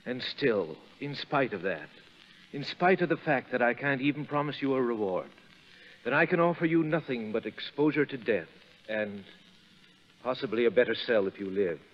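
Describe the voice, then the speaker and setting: An actor playing an earnest, concerned army major speaking in a measured way in a 1930s radio drama. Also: male voice, mid-range voice, expressive, lightly acted. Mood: grave, pleading. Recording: muffled 1930s radio broadcast.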